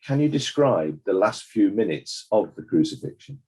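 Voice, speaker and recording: Actor as male inquest examiner, lowish mellow voice, very varied intonation, formal, probing. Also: sing-song, moderate pace. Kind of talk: scripted courtroom drama questioning.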